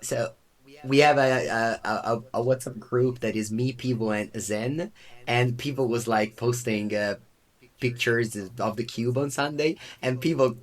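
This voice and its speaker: A man chats loosely on a podcast in a mid-range voice. He often pauses on "uh" and restarts his sentences as he looks for words. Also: medium pitch. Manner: halting, with many "uh" restarts. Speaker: podcast co-host. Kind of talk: casual chat.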